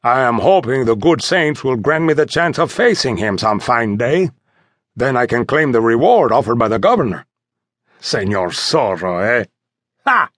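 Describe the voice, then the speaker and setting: A man voicing a boastful, loud and hearty soldier sergeant in an audiobook, blustering and full of exclamations. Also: medium pitch, big pitch swings, normal speed, no echo, clean studio sound. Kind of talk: audiobook character voice.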